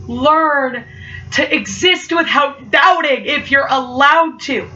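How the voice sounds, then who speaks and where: A woman's voice: sits high, slow, sharp tone. A young woman performing spoken word poetry, speaking with a stutter and an emphatic, rhythmic delivery. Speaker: poet. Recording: muffled, from far off.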